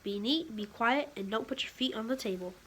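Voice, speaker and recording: A woman voicing a patient mother frog in a children's picture book, gently instructive. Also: on the high side, a little fast, noisy background, close to an everyday voice.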